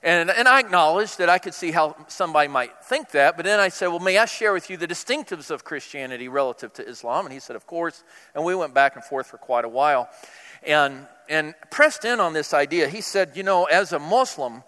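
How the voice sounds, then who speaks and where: Male preacher, conversational storyteller who acts out dialogue; bright, crisp delivery, big reverberant room. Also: fairly high pitch, fairly quick. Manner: conversational, animated retelling with acted-out dialogue. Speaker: pastor preaching. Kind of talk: sermon storytelling. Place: large hall, speaking to a congregation. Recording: reverberant hall.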